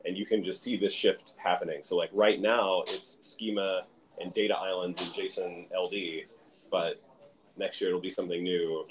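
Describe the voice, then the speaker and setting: A man's voice: sits low, little pitch movement, low-quality recording. Casual man chatting at a tech meetup. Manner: chatty, quick asides, laughs often. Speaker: session host running a live stream. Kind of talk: casual chat. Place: conference room at a tech camp.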